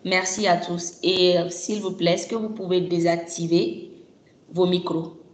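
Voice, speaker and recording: A woman's voice: on the low side, steady tone, a little slow, reverberant, a little hiss or hum. Polite woman moderating a webinar. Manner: polite, formal, structured announcements. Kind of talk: formal webinar hosting. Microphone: video call microphone.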